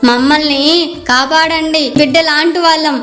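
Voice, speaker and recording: A woman's voice: high-pitched, steady tone, normal speed, a bit bright. A woman voicing a guilty, frightened young maidservant in a moral story cartoon, urgent and pleading. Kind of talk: animated moral story character dialogue. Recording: background music.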